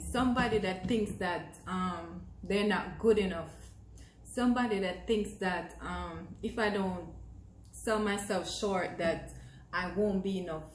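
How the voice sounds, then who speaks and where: Jamaican woman, young adult, slow and earnest, echoey room, faint background noise. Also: medium pitch. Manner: earnest, encouraging, repeats phrases for emphasis. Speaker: vlogger. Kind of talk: casual talk to camera.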